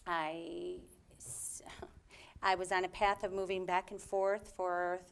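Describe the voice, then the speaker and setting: A woman's voice: on the low side, flat delivery, slow, vocal fry, smooth. A woman in her fifties who was born in Lebanon, a guest chatting in a friendly way with many fillers. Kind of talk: casual interview talk.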